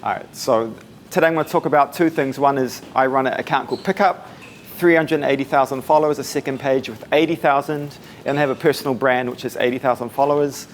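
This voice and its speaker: A man in his twenties with a New Zealand accent, giving a casual, soft-spoken talk to a meetup audience, with many fillers. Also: medium pitch, fairly even intonation, fast talker, slight background noise. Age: 20s. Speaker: speaker at a meetup. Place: coworking space event room.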